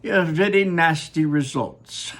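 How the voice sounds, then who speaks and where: A man voicing an eccentric, excitable male chocolate maker with a high, fluttery delivery. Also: slow. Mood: flustered.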